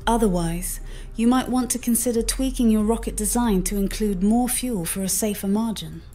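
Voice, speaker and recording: A woman's text-to-speech voice as an AI mission companion, formal, measured and articulate in explaining advice. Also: medium pitch, dark tone, faint music behind. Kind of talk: formal advisory narration, text-to-speech assistant.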